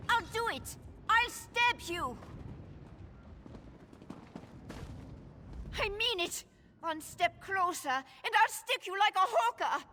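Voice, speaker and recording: An actress playing a frightened, defensive young female informant in a video game, guarded and plain-spoken with a husky voice. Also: very high voice, pushed and bright, slight background noise, heavily acted. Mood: panicked, threatening. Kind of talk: video game character dialogue. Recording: long hall-like reverb.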